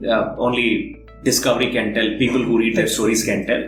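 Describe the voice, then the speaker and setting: A male podcast interviewer talks in a conversational, halting way, working out his thought as he goes. His voice sits at a medium pitch. It rings in an echoey room with faint music behind it. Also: little pitch movement. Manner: halting, thinking aloud, rephrasing at length. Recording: roomy echo, faint background music.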